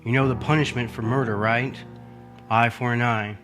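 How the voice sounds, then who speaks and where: A man's voice: mid-range voice, fairly even intonation, normal speed, natural voice. Radio drama heir, male actor: scheming, bitter, muttering darkly to himself, music underneath. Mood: cold, menacing. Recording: music behind the voice.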